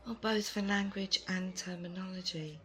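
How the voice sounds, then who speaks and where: A woman playing a thoughtful, kind, self-doubting leader in an audio roleplay, soft-spoken, hesitant and gently earnest. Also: lowish voice, moderate pace, natural voice. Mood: fond, thoughtful. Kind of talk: immersive audio roleplay addressed to the listener.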